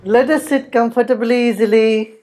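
A man leading a guided meditation, giving soft, calm instructions with long pauses. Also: very high voice. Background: soft instrumental music.

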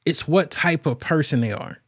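A man's voice: a little high, moderate pace, no echo, studio quality. A man hosting a show alone and talking casually about relationships, rambling with lots of fillers and restarts. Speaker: podcast host. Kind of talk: casual solo talk on relationships.

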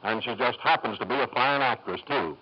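Casting: male fifties radio host, courteous, polished, medium pitch, dull archival sound. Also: fairly quick, slight background noise. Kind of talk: scripted 1950s radio host banter. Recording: muffled old 1950s radio recording.

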